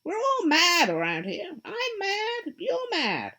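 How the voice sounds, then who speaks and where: A woman voicing a mischievous grinning male cat who teases in a high, drawn-out, sing-song way, with a breathy tone. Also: huge pitch swings, pushed and bright, heavily acted. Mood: teasing.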